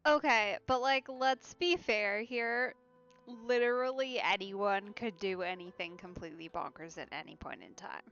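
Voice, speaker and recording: A woman's voice: medium pitch, unhurried, a bit bright. A woman streamer giving casual let's play commentary with wry, conversational asides, on a slightly dull mic. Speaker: game streamer. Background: game music.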